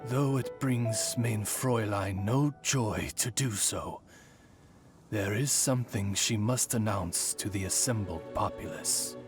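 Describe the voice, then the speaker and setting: A man's voice: fairly low pitch, takes it slowly, faint music behind. A man playing a courtly, loyal familiar to a young lady in a video game, speaking in formal, eloquent, butler-like phrases. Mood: courtly, composed. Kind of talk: video game cutscene voice acting.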